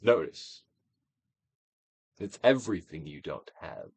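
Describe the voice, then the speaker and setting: A man's voice: deep voice, big pitch swings, slow, gravelly, breathy edge, no room sound. Theatrical male reader with sweeping intonation. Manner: theatrical, emphatic, swinging from loud declaration to hushed phrases. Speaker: actor reading a book aloud. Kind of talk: dramatic audiobook reading of a self-help book.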